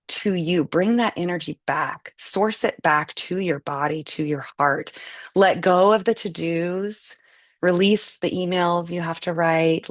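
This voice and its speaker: A woman leading a live guided meditation, giving slow, soothing, gentle instructions. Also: lowish voice, no room sound, studio quality.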